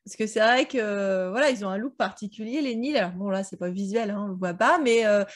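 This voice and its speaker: A woman's voice: medium pitch, brisk. A woman chatting casually and jokily as a co-host of a book club discussion, using plenty of slang. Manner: slangy, jokey, acts out quotes. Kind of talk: casual discussion of an audio drama.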